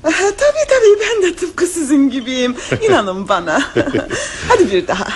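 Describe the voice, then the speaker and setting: A high, giggly flirt. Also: female voice, sing-song, moderate pace, slight background noise. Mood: flirty. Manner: coaxing, giggly. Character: flirtatious scheming woman, wine-toasting charmer. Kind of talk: radio play acting.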